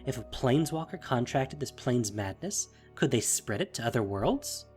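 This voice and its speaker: A man's voice: mid-range voice, expressive, moderate pace, darkish tone, faint music behind, lightly acted. A man narrating a fantasy audio drama in a dramatic, scripted style.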